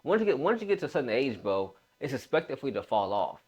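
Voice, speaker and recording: A man's voice: mid-range voice, sing-song, fast talker, darkish tone, breathy edge. A man in his late twenties giving a casual motivational talk as a fitness coach, emphatic, repeating phrases and using informal bro slang.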